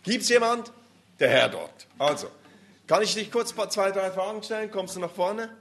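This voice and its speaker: A man preaching, his voice sitting a little higher than most men's. He is animated and emphatic, turning to the listeners with questions as he preaches. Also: moderate pace, slight background noise. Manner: animated, emphatic, addresses the audience directly. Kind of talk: interactive sermon.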